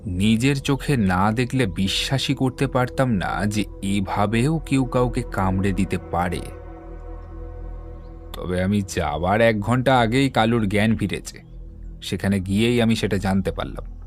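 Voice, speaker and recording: Low-voiced, composed detective played by a man. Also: lightly acted. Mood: measured, serious. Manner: measured, explanatory, assured. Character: composed, methodical male Bengali detective explaining a case. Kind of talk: dramatised audio detective story. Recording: background music under the voice.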